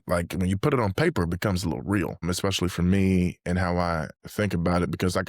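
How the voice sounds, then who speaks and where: A man's voice: sits low, dry recording, clean studio sound. A man in his late twenties speaking earnestly and reflectively as a guest in a conversational interview, often dropping in "like". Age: late 20s. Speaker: podcast guest, mentor.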